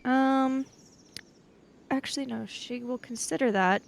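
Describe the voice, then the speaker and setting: High-pitched woman playing a tabletop role-playing game. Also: measured pace, gravelly, slight background noise. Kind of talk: casual improvised role-play, speaking as and narrating a character.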